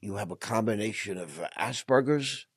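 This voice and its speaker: A man hosts an interview podcast with a low, husky voice. He is eager and effusive, often stumbling over repeated words. The sound is dry and close. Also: normal speed, no echo. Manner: enthusiastic, effusive, repeats words when excited. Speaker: podcast host. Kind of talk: conversational interview.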